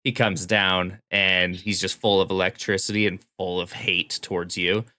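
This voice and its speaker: A man's voice: deep voice, little pitch movement, moderate pace, sharp tone, no echo, clean studio sound. A man co-hosting a casual gaming podcast, telling stories in an informal, enthusiastic way. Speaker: podcast co-host. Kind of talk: casual chat about video games.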